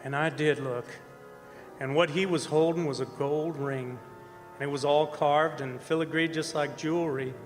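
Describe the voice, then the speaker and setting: A man playing a folksy oil-field roughneck telling a horror tale in a radio play, in colloquial, halting speech. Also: a little high, steady tone, lightly acted. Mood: uneasy, recounting. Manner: folksy, colloquial storytelling with hesitant repeats. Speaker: actor narrating a radio play. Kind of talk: radio horror drama performance, first-person narration. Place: performing arts center stage. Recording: echoey hall stage recording with music.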